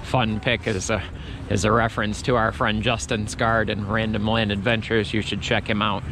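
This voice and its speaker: A man's voice: on the low side, level tone, normal speed, noisy background. A man vlogging as he walks through an outdoor Christmas market, chatting in a rambling way with many restarts. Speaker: travel vlogger. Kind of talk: casual walking commentary.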